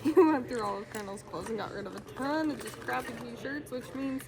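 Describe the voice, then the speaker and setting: A woman's voice: on the high side, brisk, background noise. A mother chatting offhandedly while shopping with her family in a farm supply store. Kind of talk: casual chat. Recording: busy store crowd behind. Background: store crowd chatter.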